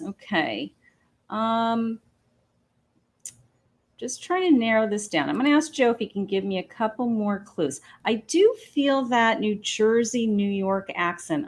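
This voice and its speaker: A woman hosting a live psychic reading from her patio, talking slowly with many pauses and fillers as she thinks aloud. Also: mid-range voice, a little mellow. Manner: slow, hesitant, many ums, thinking aloud. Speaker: psychic medium host. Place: home patio.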